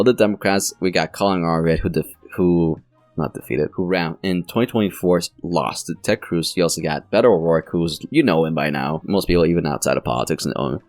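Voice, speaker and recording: A man with a very low voice gives casual political predictions, often stopping to restart a phrase. His voice sounds a little worn and sore. The recording is dry and close, with soft music playing underneath. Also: no room sound. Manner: rambling, self-correcting, with frequent fillers. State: voice strained and sore. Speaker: political channel host. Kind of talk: casual election prediction commentary.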